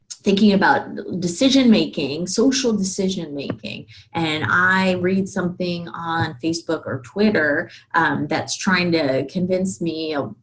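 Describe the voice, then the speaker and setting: Slightly low-voiced woman giving a lecture. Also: rough recording. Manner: thinks aloud, checks in with rhetorical questions. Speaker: university lecturer. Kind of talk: explanatory lecture, conversational.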